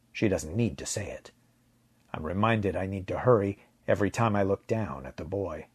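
Male narrator for sci-fi audiobook: low husky voice, measured and dramatic, very dry recording. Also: no room sound. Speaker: fiction narrator. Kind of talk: first-person audiobook narration of a science fiction story.

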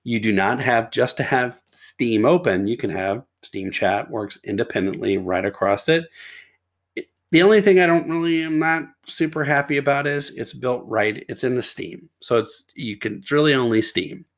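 A middle-aged man with a sore, hoarse throat, hosting a podcast and reviewing chat apps in a rambling, casual way full of restarts and asides. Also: medium pitch, normal speed. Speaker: podcast host. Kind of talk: casual tech review monologue.

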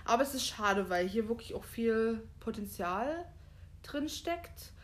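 A woman's voice: fairly high pitch, expressive, unhurried. A woman co-hosting a podcast, chatting casually and thinking aloud with loose asides. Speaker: podcast co-host. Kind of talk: casual chat, discussing a book and film.

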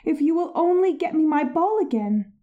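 A man voicing a spoiled young princess in a fairy tale reading, in a high girlish voice. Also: lively intonation, normal speed, mellow, lo-fi sound. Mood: pleading. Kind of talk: storybook reading.

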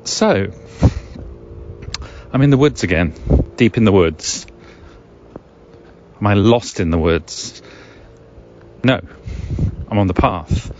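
A man's voice: lowish voice, animated, slow, long pauses, a little mellow. A middle-aged man, musing alone as a podcast host in a rambling, wry, hesitant way full of wordy asides. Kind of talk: musing solo podcast monologue. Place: outdoors in the woods. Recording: dull lo-fi recording, ambient noise.

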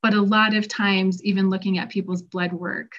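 A middle-aged woman explains stress and hormones in a conversational way, her voice a bit strained because she is losing it. She keeps a fairly flat, even delivery at a middle pitch. The recording sounds dull and lo-fi. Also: normal speed. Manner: conversational, acts out patient quotes. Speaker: health practitioner. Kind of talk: informal health presentation. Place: Colorado.

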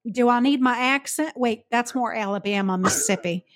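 A woman co-hosting a podcast in casual chat, cracking teasing deadpan jokes. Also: on the high side, brisk, dry recording, clean studio sound.

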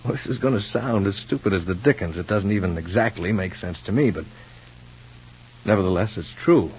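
A dull old radio recording with a faint hum holds a worried, earnest brother. His voice is low and he feels his way through sentences haltingly, in sincere soap opera style. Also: darkish tone. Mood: hesitant, uneasy. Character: earnest, worried, hesitant brother, a decent ordinary fellow.

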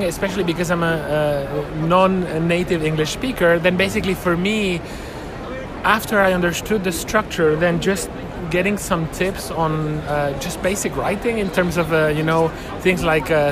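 A man whose first language is Spanish, answering interview questions in a halting way with many fillers and restarts. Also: fairly high pitch. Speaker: interviewee, researcher.

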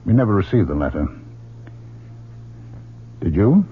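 A man's voice: deep voice, very animated, measured pace, husky, heavily acted. An actor playing a stern, worried older father and doctor in a radio drama, earnest, formal and insistent. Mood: probing. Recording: dull, lo-fi old radio recording.

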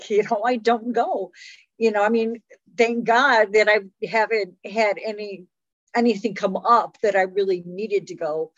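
Woman, late fifties, medium pitch, casual interview host, chatty, dry lo-fi sound. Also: fairly even intonation, a little slow, no room sound. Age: late 50s. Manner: chatty, conversational, peppered with "you know". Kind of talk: casual health interview chat.

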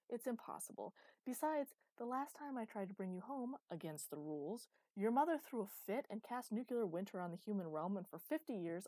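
A woman's voice: medium pitch, warm tone, dry recording, natural voice. A woman voicing an ancient, imperious female underworld ruler, bitter and sardonic, in a dramatized story. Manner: bitter, sardonic, hissing outbursts.

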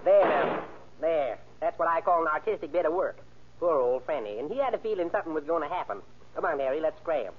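A man's voice: on the high side, animated, fairly quick, noisy background, close to an everyday voice. A man acting as a cold, cocky gangster ringleader in an old-time radio crime drama, with slangy, bossy tough-guy talk. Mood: callous. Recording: tinny, band-limited old radio recording.

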